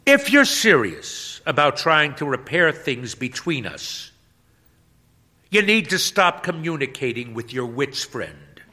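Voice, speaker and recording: A man's voice: on the high side, big pitch swings, slow pace, pushed and bright, pitched above the performer's own voice, heavily acted. A man voicing a pastor's wife, an adult woman who is hurt, guarded and wry. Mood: bitter. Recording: long hall reverb.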